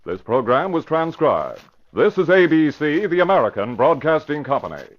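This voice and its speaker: Dramatic male radio narrator, lively intonation. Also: on the high side, moderate pace, soft background music. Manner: dramatic, formal announcer diction. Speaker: narrator and announcer. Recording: muffled old radio broadcast.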